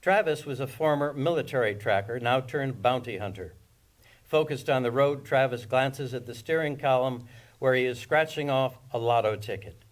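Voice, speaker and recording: A man reads screenplay directions as the narrator of a table read. His pitch is average, and the delivery is scripted narration. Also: normal speed.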